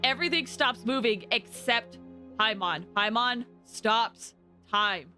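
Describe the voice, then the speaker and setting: A woman streaming a game with animated, rambling commentary and dramatic outbursts. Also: fairly high pitch, slow, faint music behind. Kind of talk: excited live gameplay commentary and theorizing.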